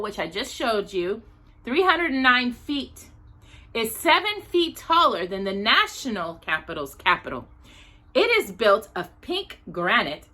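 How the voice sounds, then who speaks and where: A woman's voice: mid-range voice, pushed and bright. An American woman from Texas reading a nonfiction children's book aloud as a storytime host, with chatty, informal asides. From Texas, United States. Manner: chatty asides, stumbles over longer words.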